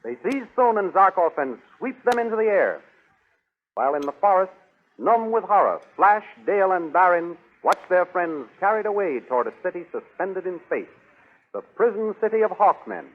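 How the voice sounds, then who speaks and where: A man's voice: high-pitched, animated, normal speed. A man narrating a 1930s radio serial with grandiose, urgent declamation. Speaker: announcer-narrator. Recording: tinny 1930s radio recording. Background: music.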